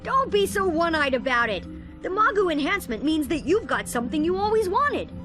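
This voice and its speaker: A woman's voice: very high-pitched, sing-song, a little fast. A woman voicing a brainy, bossy boy inventor in a cartoon game, explaining things in a know-it-all way. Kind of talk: animated game cutscene acting. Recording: game music behind the voice.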